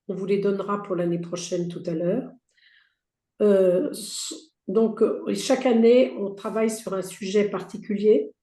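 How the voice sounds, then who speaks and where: Hoarse woman presenting slides. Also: medium pitch, mellow. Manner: reads out slide points with frequent fillers and restarts. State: hoarse, losing her voice. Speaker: presenter for a heritage federation. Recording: roomy echo.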